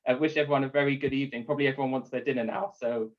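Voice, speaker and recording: A man's voice: medium pitch, steady tone, rapid-fire, muffled recording. A man hosting and moderating a virtual talk, polite and a little flustered, speaking over a video call. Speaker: event host and moderator.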